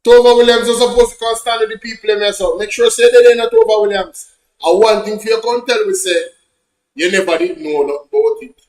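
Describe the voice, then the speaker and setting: A Jamaican man giving animated reaction commentary on a news clip. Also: sits high, a little fast, echoey room, rough recording. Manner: animated, preachy asides. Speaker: online commentator.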